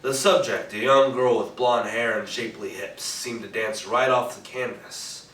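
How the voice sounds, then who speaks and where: An actor playing a cool, wry private detective narrating a 1940s-style radio noir on stage, in an echoey room from afar. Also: male voice, medium pitch, steady tone, moderate pace, fairly bright tone, lightly acted. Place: theatre stage, recorded from a distance. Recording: echoey stage, distant mic, faint noise.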